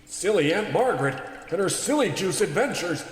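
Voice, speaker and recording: Frantic, blustering cartoon tycoon. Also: male voice, high-pitched, very animated, moderate pace. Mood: panicked. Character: panicky, arrogant animation tycoon. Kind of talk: comedic radio play acting. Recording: very echoey room with long reverb.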